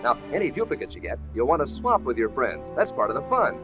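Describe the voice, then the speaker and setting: A man as a 1940s radio announcer, giving a folksy, enthusiastic sales pitch to children. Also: very animated, fast talker. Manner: chummy, folksy, enthusiastic selling. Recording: dull old radio, music behind.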